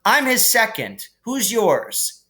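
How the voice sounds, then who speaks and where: A man's voice: sits high, wildly swooping pitch, takes it slowly, bright, forceful tone, pitched above the performer's own voice, exaggerated voice. A man voicing a brash, hot-headed schoolboy in a blunt, offhand way for a storybook. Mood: defiant.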